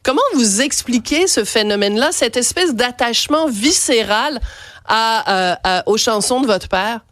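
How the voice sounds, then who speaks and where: A woman's voice: a little high, lively intonation, normal speed, a bit bright, no room sound, studio quality. Chatty Quebec radio host woman. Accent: Quebec French. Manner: chatty, playful, laughs often. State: has a cold. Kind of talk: lively radio interview.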